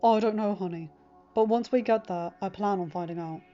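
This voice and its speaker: A woman's voice: mid-range voice, a little fast, warm tone, faint music behind, natural voice. A woman voicing a concerned, commanding fatherly man in an audiobook, serious and decisive. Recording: dull lo-fi recording.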